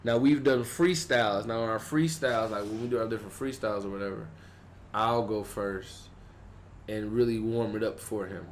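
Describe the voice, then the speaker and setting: A man's voice: normal speed, slight background noise. A young man from Houston with a Southern accent, a rapper guest telling stories in a casual podcast interview. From Houston, Texas. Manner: animated storyteller, acts out quotes in a higher voice.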